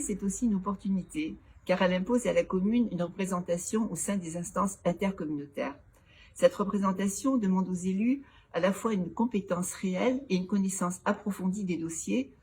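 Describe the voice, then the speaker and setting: A woman with a fairly low voice speaks as a local elected official in a formal, prepared interview. Her delivery is measured and didactic, laying out points in order. Clear background noise sits behind her. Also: darkish tone. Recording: clearly noisy background ambience.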